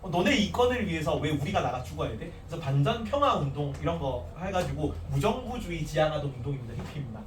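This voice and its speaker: A man with a softened Gyeongsang accent teaches a classroom full of students, and his pitch swings widely as he explains. His voice sits a little high. He was recorded from a distance in an echoey room, with faint hiss underneath. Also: fast talker, few pauses. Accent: standardized Gyeongsang Korean. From Gyeongsang region. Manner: animated, talks to students casually, restarts and asides. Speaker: social studies teacher. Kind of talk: classroom lecture.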